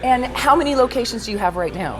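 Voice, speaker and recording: A woman's voice: on the high side, lively intonation, quick pace, a crowd in the background. A woman co-hosting an interview, eager and full of affirmations. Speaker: co-host.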